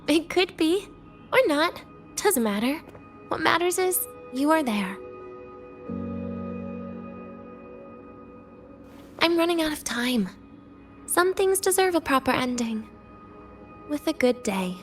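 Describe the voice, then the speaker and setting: An actress voicing a weary, teasing, guarded young woman in a video game, speaking with wry, breathy pauses. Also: high-pitched, lively intonation, faint music behind. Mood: wistful.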